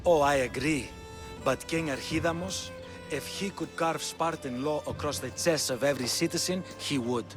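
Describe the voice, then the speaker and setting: Man playing a theatrical, high-pitched Spartan king. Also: normal speed, close to an everyday voice. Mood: sardonic. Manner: theatrical, sardonic, condescending. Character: smooth-talking Spartan king, theatrical, sardonic and condescending. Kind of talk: dramatic video game dialogue. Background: game music.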